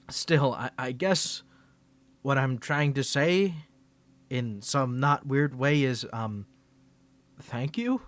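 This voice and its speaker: A man's voice: mid-range voice, extremely slow, pauses often, no room sound. A man performing an ASMR roleplay to the listener, flustered and stammering, with defensive grumbling and bossy scolding outbursts.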